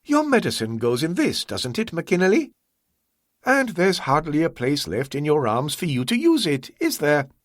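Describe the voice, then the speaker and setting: A man voicing a suave, soft-spoken crime boss who threatens with quiet courtesy in an audiobook story. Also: a little high, wildly swooping pitch, normal speed, no echo, clean studio sound, pitched above the performer's own voice. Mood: gently mocking. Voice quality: smooth, silky, quietly threatening.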